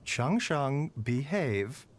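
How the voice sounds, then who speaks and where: An actor playing a gentle male doctor in a game, mildly admonishing a mischievous companion. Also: mid-range voice, very animated, drawn-out words. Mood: mild scolding.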